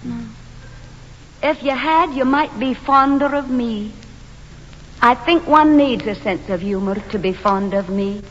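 Actress doing a yearning, determined woman in a play, high voice, dull echoey hall recording. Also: a little slow, soft background music, lightly acted. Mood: tense, subdued. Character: plain, devoted, self-doubting, determined Scottish woman. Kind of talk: stage drama acting.

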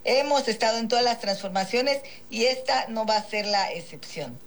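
A woman from Mexico, a politician being interviewed live, talking in a halting way with many fillers. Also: fairly high pitch, expressive. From Mexico, Guerrero.

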